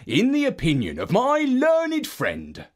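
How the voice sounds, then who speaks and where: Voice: mid-range voice, wildly swooping pitch, slow pace, pitched above the performer's own voice, full character voice. A reader as a humble storybook space dog.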